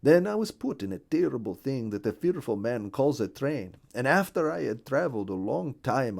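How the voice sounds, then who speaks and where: A man's voice: medium pitch, creaky, a little mellow, pitched above the performer's own voice. A man voicing a timid, confused partridge from far away in a storybook, speaking politely and formally. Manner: formal, earnest storybook speech.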